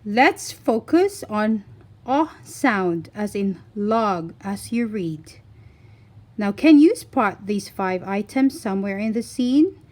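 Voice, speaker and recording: Very slow-paced woman teaching phonics. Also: mid-range voice, expressive, darkish tone. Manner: deliberate, instructional, enunciating single words. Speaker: children's phonics teacher and reader. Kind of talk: scripted read-aloud phonics lesson.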